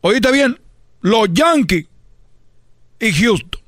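A high-voiced man rattles on at speed on a radio show, tripping over repeated words as he jokes. His voice sits close and dry. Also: big pitch swings, a bit bright, no echo. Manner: fast-talking, stammering repetitions, calls everyone "chico". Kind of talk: rapid comic banter.

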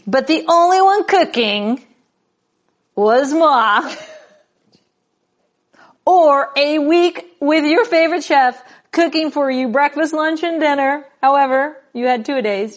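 A woman in her forties hosting a casual, playful interview, animated and quick to laugh. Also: sits high. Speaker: interview host.

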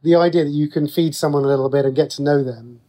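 A man's voice: a little high, steady tone, fast, mellow. A middle-aged man speaking casually as an interview guest, explaining things in a chatty, rambling way. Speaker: writer and radio drama creator, interview guest.

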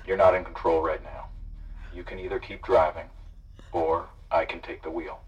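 An actor playing a calm, sardonic male hijacker who threatens and persuades by turns, sounding muffled and distant. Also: low-pitched, moderate pace, breathy edge. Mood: menacing. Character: smooth male eco-activist hijacker, menacing. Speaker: radio drama character.

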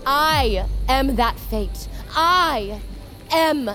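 A bold huntress speaks in a high voice with clear music behind her. She is blunt, forceful and defiant, in the manner of epic audio fiction. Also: lively intonation, drawn-out words, exaggerated voice. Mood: defiant challenge. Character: bold, defiant young huntress challenging for power. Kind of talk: dramatic audio fiction acting.

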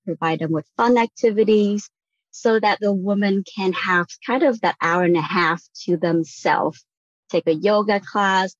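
A woman's voice: lowish voice, dry recording. A woman from Vietnam speaking as a podcast guest, earnest and reflective, often checking in with "right?" as she talks. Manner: earnest, frequent "like" and "right?" tags. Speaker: guest on a real estate podcast. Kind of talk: reflective conversational interview answer. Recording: dull lo-fi audio.

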